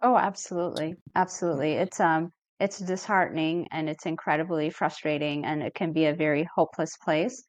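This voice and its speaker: A middle-aged woman from Ethiopia, a therapist speaking as a podcast guest in an earnest, reflective and measured way. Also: deep voice, steady tone, no echo. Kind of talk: podcast interview.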